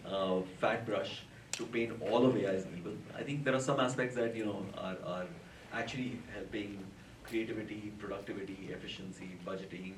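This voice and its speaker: A man's voice: lowish voice, steady tone, fairly quick. Man speaking from afar in echoey hall. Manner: hesitant, many fillers. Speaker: film industry panelist. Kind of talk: panel discussion. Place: festival panel hall. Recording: echoey room, far from mic, noisy.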